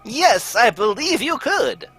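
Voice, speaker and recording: A woman playing a hearty, proper gentleman sidekick in an amateur radio drama, exclamatory and husky. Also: a little high, very animated, slow pace, faint music behind, heavily acted. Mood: genial, confiding. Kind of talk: amateur old-time radio drama reading.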